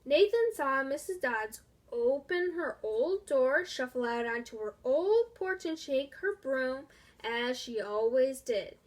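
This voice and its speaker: A woman reading a children's storybook aloud in a deliberate, expressive way. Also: sits high. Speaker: storybook reader. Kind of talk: scripted children's story narration.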